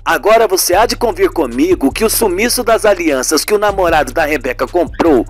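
A man's voice: sits high, expressive, brisk, soft background music. A man voicing a puzzled, reasonable husband in a radio serial, colloquial and questioning.

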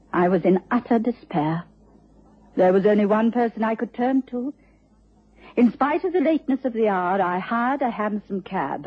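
Troubled, husky-voiced British wife. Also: female voice, medium pitch. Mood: troubled, grave. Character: Dr Watson's distressed, proper wife. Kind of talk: radio drama acting with first-person narration. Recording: muffled radio drama recording.

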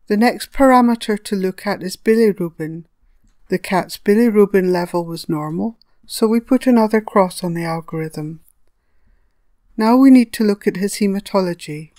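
A woman reading a scripted educational narration as a veterinary lecturer, measured and didactic, explaining step by step. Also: mid-range voice, darkish tone, no room sound, studio quality.

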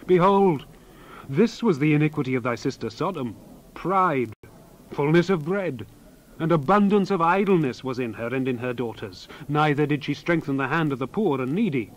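A man's voice: a little high, lively intonation, normal speed, natural voice, low-quality recording. A man as a solemn, wrathful God declaiming scripture. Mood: stern, accusing. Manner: solemn declamation, swinging from forceful cries to hushed near-whispers. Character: wrathful male God pronouncing solemn judgment. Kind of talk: dramatized scripture reading.

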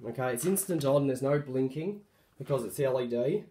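A hoarse man gives a casual show-and-tell about a light fitting he fixed up. His voice is croaky from a cold, and he talks haltingly, full of ums and self-corrections. He sits at a mid pitch and records on a phone in a room at home. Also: lively intonation, mellow. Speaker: hobbyist showing a refurbished light.

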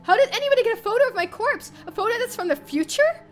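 High-voiced young woman narrating dramatically. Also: fast talker. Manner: expressive, theatrical. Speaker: streamer reading a visual novel. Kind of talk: dramatic first-person narration of a visual novel. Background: game music.

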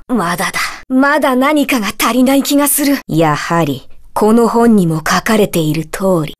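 A woman voicing a smug, bookish schoolboy magician in a video game, pompous and theatrical in delivery. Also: a little high. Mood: brooding, muttering. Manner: pompous, theatrical, clearly declaiming. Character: smug bookish schoolboy magician, brainy, snobbish, easily flustered. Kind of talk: Japanese video game character voice clips.

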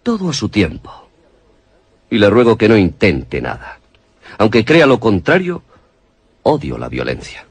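An actor playing a menacing, coldly courteous masked villain in a radio horror drama, speaking deliberately with a gravelly, husky voice. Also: male voice, on the low side, wildly swooping pitch, no room sound, heavily acted. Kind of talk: Spanish-language radio horror acting.

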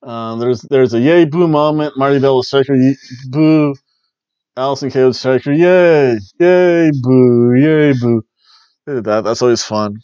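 A man's voice: mid-range voice, a little slow, warm tone, clear, resonant tone, no echo. Chatty man recapping wrestling on a headset. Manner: chatty, jokey, loose play-by-play with playful exclamations. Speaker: wrestling review host. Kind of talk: casual review chat. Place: small home room with bookshelves, indoors. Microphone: headset mic on a webcam call. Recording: dry, dull lo-fi webcam audio.

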